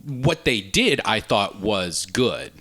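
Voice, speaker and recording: A man's voice: fairly low pitch, very animated, takes it slowly, fairly bright tone. A man co-hosting a casual podcast discussion, earnestly reasoning through his opinions. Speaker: podcast host. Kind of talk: casual film and video game discussion.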